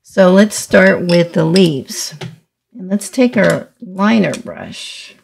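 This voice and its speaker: Slow, creaky-voiced woman teaching painting. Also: lowish voice, expressive, slight background noise. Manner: halting, thinking aloud while painting. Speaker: watercolor teacher. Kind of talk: step-by-step painting tutorial. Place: indoors, small studio room at a table.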